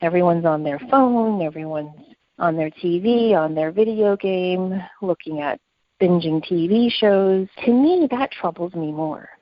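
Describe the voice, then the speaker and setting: Woman, slightly low voice, earnest and opinionated podcast co-host, reflective conversation, dull lo-fi audio. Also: expressive, unhurried.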